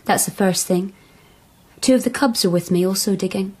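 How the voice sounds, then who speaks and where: A woman's voice: mid-range voice, normal speed, a little mellow, husky, lightly acted. A woman playing a naive, eager young wild girl in a radio drama, with a direct, careful way of talking. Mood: earnest.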